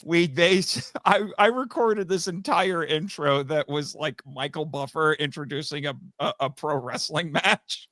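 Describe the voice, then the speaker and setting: Bright-voiced man chatting animatedly as a guest. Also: on the high side, unhurried, few pauses, dry recording, studio quality. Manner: animated, chuckles often while talking. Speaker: podcast guest, voice actor and writer. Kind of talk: casual interview chat, telling anecdotes.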